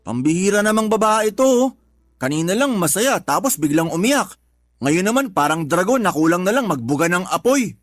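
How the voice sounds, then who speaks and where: An actor playing a doting, put-upon young tricycle driver in a Tagalog radio drama, pleading and exasperated. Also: male voice, high-pitched, big pitch swings, normal speed, no echo.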